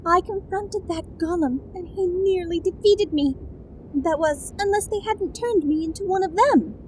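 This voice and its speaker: Tiny, very high-pitched prim fairy. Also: female voice, measured pace, a little mellow, a little hiss or hum, rough recording. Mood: explaining, regretful. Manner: prim, exclamatory. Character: tiny prim bossy Sugar Plum Fairy. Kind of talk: audio drama acting.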